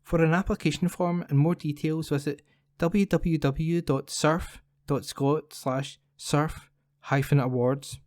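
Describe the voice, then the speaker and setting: A man's voice: fairly high pitch, steady tone, a little slow, a little mellow, dry recording, studio quality. A man reading scripted local news as a volunteer for an audio newspaper. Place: at home, dry room.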